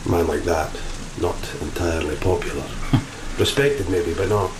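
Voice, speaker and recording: A man's voice: deep voice, normal speed, noisy background, close to an everyday voice. A man playing a grim, sardonic sailor with a criminal past in a gothic horror audio play. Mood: brooding, sardonic. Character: grim, menacing male sailor, gangster's son from Moscow. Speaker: actor in an audio drama. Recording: steady rain and thunder, roomy echo.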